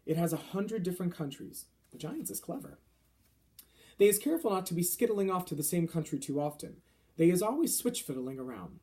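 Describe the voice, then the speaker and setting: Casting: man to play friendly storybook giant, earnest and gently indignant, quaint mangled grammar, very animated intonation, mellow tone. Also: high-pitched, brisk. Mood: earnest, matter-of-fact. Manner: quaint broken grammar with mangled, invented words. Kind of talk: children's storybook character voice.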